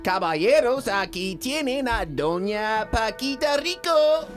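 A man doing a gruff American cowboy with a thick accent. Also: very high voice, lively intonation, slow, over music, exaggerated voice. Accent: put-on American accent in Spanish. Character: brash American cowboy film actor, male, adult. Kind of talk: comedy radio sketch.

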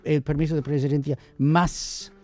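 A man's voice: a little high, little pitch movement, normal speed, a little mellow, soft background music. A middle-aged American man with an American English accent, a wine expert guest chatting hesitantly and with good humor, often repeating his words. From United States. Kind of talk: casual interview chat.

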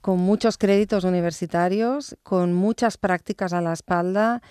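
A woman in her fifties, a nurse, talking thoughtfully in an interview, with hesitant restarts and phrases that trail off softly. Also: lowish voice, moderate pace, smooth, dry recording, studio quality. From born in England, raised in Barcelona. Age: 50s. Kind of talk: conversational interview.

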